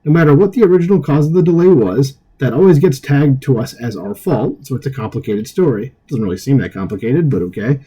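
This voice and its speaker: Dark-voiced man reading news with snark. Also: mid-range voice, expressive, quick pace, hardly any pauses, husky, highs cut off. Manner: sarcastic asides, stumbles over words. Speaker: political commentary host. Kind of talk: reading a news article aloud with commentary.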